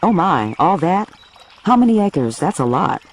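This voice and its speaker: A woman's voice: lowish voice, sing-song, unhurried. Curious woman asking short questions outdoors. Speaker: visiting author asking questions. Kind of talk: casual interview on a walk. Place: outdoors on rural farmland.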